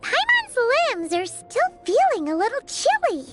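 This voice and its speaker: A small, squeaky fairy companion from a video game. The voice is sky-high and swoops all over, yet takes its time with words. It is childlike, curious and eager. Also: female voice, huge pitch swings, measured pace, music in the background, full character voice. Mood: chatty. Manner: refers to self in third person, exclaims eagerly.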